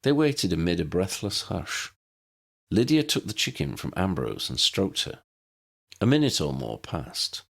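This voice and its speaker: A man's voice: low voice, big pitch swings, unhurried, gravelly. A man reading scripted fiction as an audiobook narrator.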